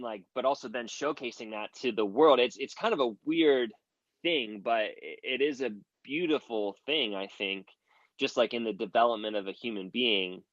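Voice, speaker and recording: A man's voice: mid-range voice, dry recording, lo-fi sound. A man giving advice in a casual audio room chat, earnest and rambling, with many "like" fillers. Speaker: guest creator giving advice.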